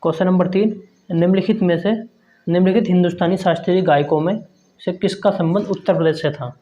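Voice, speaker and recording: A man's voice: high voice, steady tone, fast talker, dark tone. A man teaching an exam prep lesson, reading quiz questions and answer options aloud and often addressing his viewers as friends. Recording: dull lo-fi sound.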